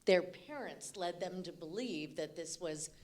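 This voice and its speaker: A woman's voice: lowish voice, expressive, measured pace, darkish tone. A woman speaking informally and candidly as an audience member, putting an unscripted question to a panel with emphasis. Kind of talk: spontaneous spoken question to a panel.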